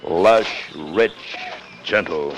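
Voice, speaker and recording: An actor in a 1950s radio drama playing a hard, greedy ship's officer, commanding, with old-fashioned seafaring speech. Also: male voice, low voice, drawn-out words, dull audio, background noise. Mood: brooding, scornful. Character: hard, scheming, greedy sailing-ship officer leading a treasure hunt. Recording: 1950 radio broadcast with music underneath.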